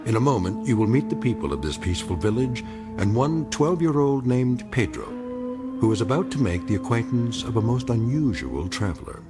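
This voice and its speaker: A man narrating an eerie audio drama as a solemn storyteller, in a measured, portentous way. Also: medium pitch, expressive, over music, close to an everyday voice. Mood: solemn, ominous. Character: solemn, ominous male storyteller of eerie tales.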